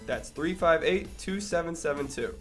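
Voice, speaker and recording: A man reads a radio ad in a very lively, varied pitch. Faint music runs underneath him. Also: moderate pace. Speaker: advertisement voice. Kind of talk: radio ad read.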